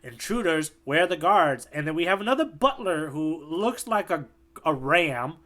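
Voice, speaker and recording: Easygoing man with a bright voice. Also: fairly high pitch, lively intonation, moderate pace. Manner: easygoing, agreeable, filler-heavy. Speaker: podcast co-host. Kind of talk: casual chat.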